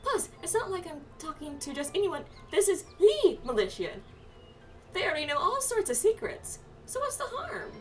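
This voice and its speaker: Excitable, squeaky high little spirit girl. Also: normal speed. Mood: insistent. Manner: gushing, bubbly. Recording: distant mic, game music behind.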